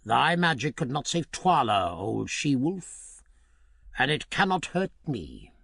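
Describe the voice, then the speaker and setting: A man's voice: mid-range voice, very animated, slow pace, vocal fry, a bit bright, husky, dry recording, clean studio sound, exaggerated voice. A man voicing a stern, proud king who speaks in a solemn, archaic, commanding way.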